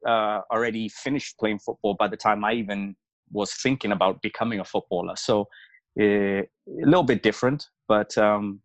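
A man's voice: fairly low pitch, no room sound. A man in his early forties, a former footballer raised in Zambia and Wales, talking about his background with many fillers and restarts. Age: early 40s. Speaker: former international footballer guest. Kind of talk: casual reflective interview talk.

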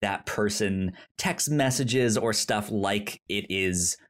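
Male podcast co-host, slow talker, stammering and repetitive delivery, casual conversational style. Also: fairly low pitch. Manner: halting, stammers and repeats syllables. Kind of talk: casual film discussion chat.

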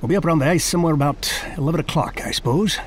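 An actor playing a courteous, steady ship's officer in a period audio drama, measured and formal. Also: male voice, fairly high pitch, slight background noise, natural voice. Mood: businesslike.

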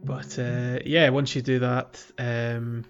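Slightly muffled, low-pitched man podcasting. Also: flat delivery, slow, soft background music. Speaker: podcast host. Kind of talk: casual video game playthrough discussion. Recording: slightly dull lo-fi audio.

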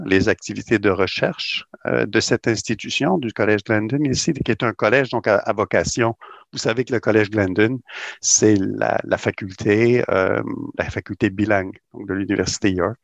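A middle-aged Québécois man talks in a low, gravelly voice, recorded dry and close. He explains his work at an unhurried pace, full of hesitations and repeated words. He sounds like a university administrator being interviewed on radio. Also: no echo, dull audio. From Quebec. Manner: hesitant, many "euh" fillers and repeated words.

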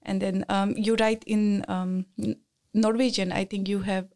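A woman's voice: medium pitch, little pitch movement, a little slow, darkish tone. A woman from India, a thoughtful, hesitant guest in an interview. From Northeast India. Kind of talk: conversational interview.

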